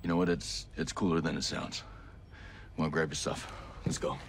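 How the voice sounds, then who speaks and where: A man's voice: very deep voice, fairly even intonation, normal speed, a little hiss or hum. A man playing a weary, principled middle-aged American special forces soldier in a video game, firm and plain-spoken. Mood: brisk, persuasive. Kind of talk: video game cutscene acting.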